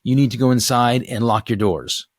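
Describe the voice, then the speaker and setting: A man's voice: medium pitch, normal speed, dry recording, clean studio sound, voice pushed up, natural voice. Man as tough, matter-of-fact older woman agent. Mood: urgent, firm.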